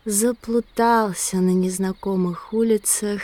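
Slow, mellow female narrator. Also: mid-range voice, steady tone. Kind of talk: scripted dramatic narration.

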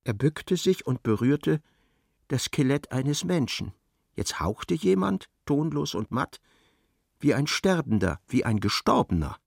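A man's voice: mid-range voice, very animated, measured pace, vocal fry, slightly rough, no echo, studio quality, full character voice. A man narrating a literary radio play in a precise, wry, dramatic storytelling manner.

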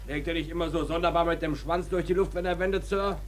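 A man's voice: on the high side, steady tone, fast, lightly acted. An actor playing an eager harpooner who addresses his captain deferentially in a German radio drama.

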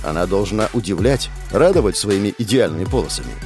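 Low-voiced guy narrating an audiobook, really animated, faint music under it. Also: fast talker. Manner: expressive, animated reading. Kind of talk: first-person audiobook narration.

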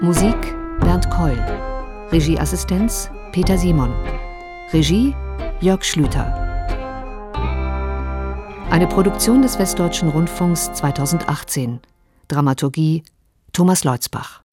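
A woman's voice: on the low side, expressive, takes it slowly, pauses often, over music. A woman reading scripted closing credits as a radio announcer.

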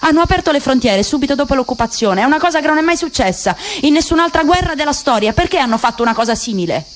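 A woman voicing a bitter middle-aged man in a radio play reading, speaking gravely and resentfully. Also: high voice, lively intonation, fast talker.

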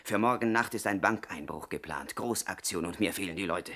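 An actor playing a grumbling, put-upon gangster henchman who complains to his boss, in a 1960s radio drama. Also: brisk. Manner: grumbling, complaining, lively swings in pitch.

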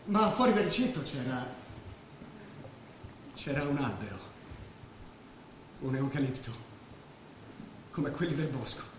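A man's voice: medium pitch, big pitch swings, unhurried, pauses often. An actor playing a disillusioned war veteran in his late twenties in a stage drama, restless and wistful. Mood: wistful storytelling. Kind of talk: Italian stage drama acting. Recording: very echoey stage, distant, faint noise.

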